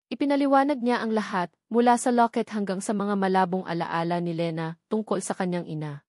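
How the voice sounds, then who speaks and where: A woman's voice: mid-range voice, fairly quick, darkish tone, no echo, clean studio sound. A woman reading a scripted dramatic story as its narrator.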